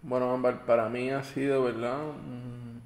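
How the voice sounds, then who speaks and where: A man's voice: medium pitch, flat delivery, a little slow, darkish tone. A man hosting a podcast, asking his guest questions in a friendly way and leaning on little fillers. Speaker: podcast host. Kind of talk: interview questions. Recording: roomy echo.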